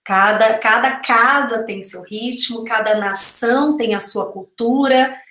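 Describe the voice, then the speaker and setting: A woman's voice: on the high side, takes it slowly, pushed and bright. A woman giving a reflective, explanatory talk as a panel speaker on a video call, often checking in with tag questions. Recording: roomy video-call audio.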